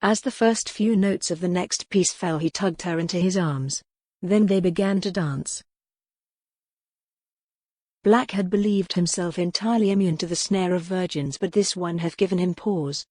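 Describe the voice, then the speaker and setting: Female audiobook narrator for historical romance, lower-pitched voice, dry close studio sound. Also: moderate pace, a little mellow, no echo.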